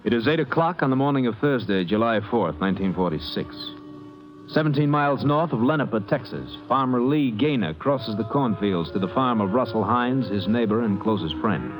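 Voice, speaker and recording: A man's voice: mid-range voice, animated, normal speed, poor audio. A man narrating a vintage radio drama in a scripted documentary style. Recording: vintage radio, music behind.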